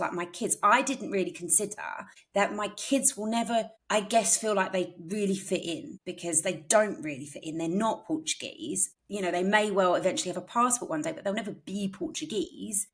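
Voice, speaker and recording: A woman from England in her thirties, co-hosting a podcast in a chatty, think-aloud way with many fillers. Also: medium pitch. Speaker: podcast co-host. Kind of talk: casual chat.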